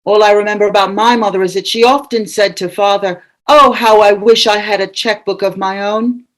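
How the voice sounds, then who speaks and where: Lady as a wistful little boy, naive, mid-pitched voice. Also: fairly even intonation, brisk, close to an everyday voice. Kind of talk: audio play acting.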